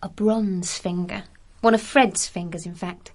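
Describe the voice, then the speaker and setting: A woman's voice: lowish voice, steady tone, a little slow, a little hiss or hum. An actress playing a self-assured, defiant, arty young woman in a radio drama, articulate and earnest. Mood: concerned.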